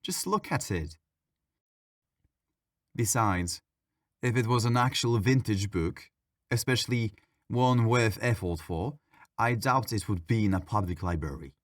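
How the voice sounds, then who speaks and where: A man's voice: on the low side, unhurried, long pauses, dry recording, clean studio sound, natural voice. A man playing a petulant, mischievous ghost who haunts a library, talking in a sassy, casual, complaining way in an ASMR audio roleplay. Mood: sassy, grumbling.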